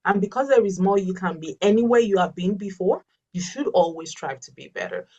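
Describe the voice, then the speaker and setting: A woman's voice: medium pitch, very animated, fairly quick, a little mellow, recorded from a distance, dull audio. A Yoruba-speaking woman, a life coach answering webinar questions earnestly and emphatically, often repeating her key phrases. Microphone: video call.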